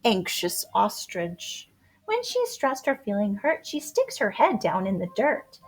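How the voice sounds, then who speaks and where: A woman reading a rhyming children's picture book aloud in a playful, expressive way, drawing out words for dramatic emphasis. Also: medium pitch, measured pace, poor audio. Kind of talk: animated rhyming storybook read-aloud for young children. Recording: music behind the voice. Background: music.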